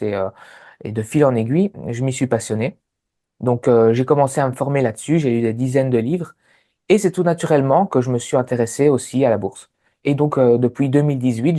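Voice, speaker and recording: A man's voice: medium pitch, mellow. A man in his thirties with a Sicilian Italian accent, telling his story as an interview guest, with many hesitant fillers. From Sicily, Italy. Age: 30s. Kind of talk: relaxed personal story.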